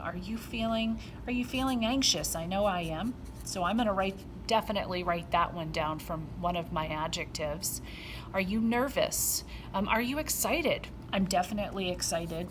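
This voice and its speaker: A middle-aged woman teaching an art lesson, talking gently and patiently with plenty of ums. Her voice sits at an ordinary pitch. A clear background noise runs under her words. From Rhode Island, USA. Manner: gentle, step-by-step explaining with frequent ums.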